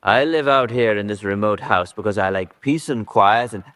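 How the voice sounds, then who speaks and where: An actor playing a cold, suave, middle-aged spymaster who poses as a country gentleman, haughty and deliberate, in a radio drama. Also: fairly low pitch, no echo, natural voice. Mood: testy, suave.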